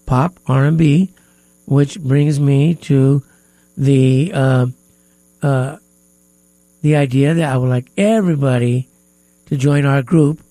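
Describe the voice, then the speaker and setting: Gravelly stuffy-nosed radio host man. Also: medium pitch, warm tone, no room sound, low-quality recording. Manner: hesitant, many filler pauses. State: stuffy nose. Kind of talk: casual talk radio chat. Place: radio studio.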